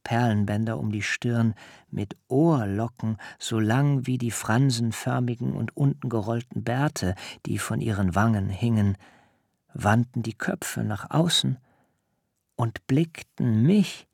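An actor playing an awed biblical patriarch who recounts a dream vision solemnly in a literary radio drama, with measured, rapt delivery. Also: male voice, medium pitch, vocal fry, darkish tone, no room sound, studio quality.

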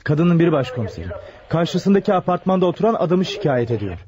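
An actor playing a brusque, easily irritated desk police officer in a radio drama, talking in a curt official way. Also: male voice, fairly high pitch, very animated, quick pace. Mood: reporting. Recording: muffled old radio broadcast, crowd murmur.

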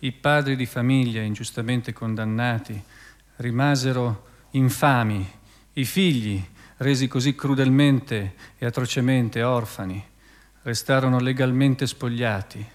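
A man giving a measured, solemn dramatic reading for radio theatre, with deliberate pauses. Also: medium pitch, fairly even intonation. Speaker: narrator in a radio theatre piece. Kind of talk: dramatic scripted narration, theatrical literary reading. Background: soft music with plucked guitar.

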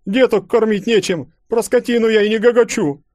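A stout peasant woman, voiced by a man complains in a shrill, raised voice. She sounds indignant and fed up. The recording is dry. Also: moderate pace, darkish tone, dry recording, lightly acted.

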